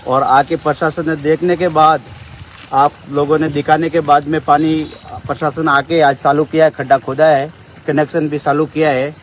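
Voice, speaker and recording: Flat-toned man interviewed outdoors. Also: fairly high pitch, moderate pace, low-quality recording. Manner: repetitive, simple phrasing. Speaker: local villager interviewed. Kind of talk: plain spoken account to a reporter. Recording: outdoor interview with background noise.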